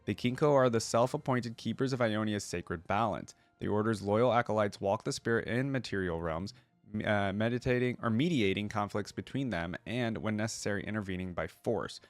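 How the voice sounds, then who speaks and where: Guy w a lowish voice doing reaction commentary, reads stuff out then thinks out loud, dry sound no room echo. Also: fairly quick, soft background music. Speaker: host of a reaction video. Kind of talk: casual reaction commentary mixed with reading aloud.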